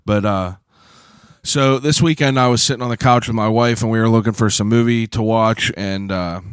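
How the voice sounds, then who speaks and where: Man, thirties, hoarse, podcast host, rambling, profane, lowish smooth voice, dry close sound. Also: normal speed, dry recording. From Pittsburgh, USA. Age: 30s. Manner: rambling, profane, self-deprecating; frequent "uh" fillers and throat clearing. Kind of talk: casual solo monologue.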